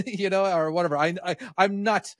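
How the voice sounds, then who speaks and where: Truck-driving podcast co-host from Ireland, rambling and swearing, raised pitch, dry sound. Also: male voice, moderate pace, no echo. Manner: rambling, swears a lot, many restarts. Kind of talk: casual podcast chat.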